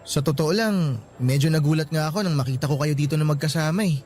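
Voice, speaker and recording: A man's voice: on the high side, fast talker, a little mellow, faint music behind, close to an everyday voice. A man acting a hesitant, concerned young student friend in a radio drama, with a Filipino accent. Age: young adult.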